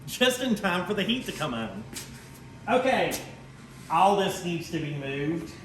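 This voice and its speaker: A man's voice: high voice, very animated, unhurried, a bit bright, a little hiss or hum, rough recording. An American man rambling casually and informally in a folksy way, thinking aloud as he hosts a garage vlog. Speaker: vlog host. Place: home garage workshop.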